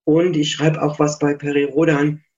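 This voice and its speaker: A man's voice: on the high side, level tone, normal speed, a little mellow. Man, middle-aged, author guest, chatty, reflective, slightly muffled lo-fi recording. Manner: chatty, reflective, self-deprecating. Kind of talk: casual interview chat.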